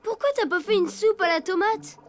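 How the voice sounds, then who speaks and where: A woman's voice: extremely high pitch, moderate pace. A woman voicing a frank young child in a children's cartoon, plain-spoken and childlike. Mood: disgusted, worried. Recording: music under the voice.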